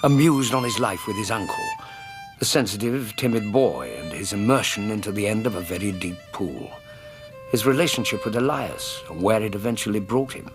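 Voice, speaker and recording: An actor playing an earnest, loyal Victorian gentleman companion who narrates a radio drama, with a British accent and an expressive, literary delivery. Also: on the low side, moderate pace, music in the background. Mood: melancholy. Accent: British English.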